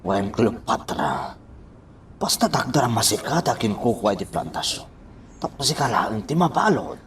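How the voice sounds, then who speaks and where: Man acting low-voiced, coaxing male schemer. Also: sing-song, moderate pace, a bit bright, far from the mic, slight background noise. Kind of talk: radio drama acting.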